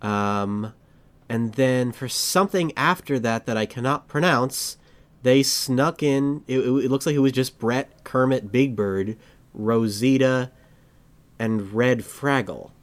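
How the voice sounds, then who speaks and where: Chatty podcast host, rambling. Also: male voice, mid-range voice, takes it slowly. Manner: rambling, self-correcting, excitable. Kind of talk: casual podcast chat.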